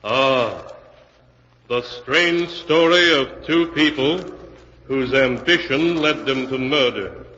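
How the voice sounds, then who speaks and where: A man narrating an old-time radio mystery in a solemn, theatrical, measured style as its announcer. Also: mid-range voice, pushed and bright. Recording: muffled old radio, long reverb, music behind.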